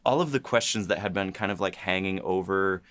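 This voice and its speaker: A man co-hosting a podcast in casual banter, halting and playful as he talks. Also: low-pitched, fairly bright tone. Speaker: podcast co-host, series writer.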